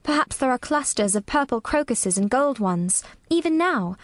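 A woman voicing an earnest young girl who speaks softly and slowly in a storybook reading. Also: high voice, lively intonation, dry recording, voice pushed up. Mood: soothing. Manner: soft, slow, soothing storytelling. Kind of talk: audiobook character voice.

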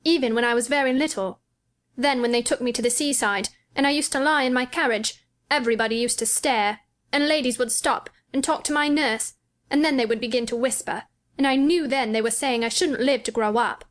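A high young voice for a storybook invalid boy, acted out by a woman. He is petulant and solemn, weighing his words earnestly and wrapped up in himself. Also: a little fast, close to an everyday voice. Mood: indignant, complaining. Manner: thoughtful, earnest, self-absorbed. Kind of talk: audiobook character dialogue.

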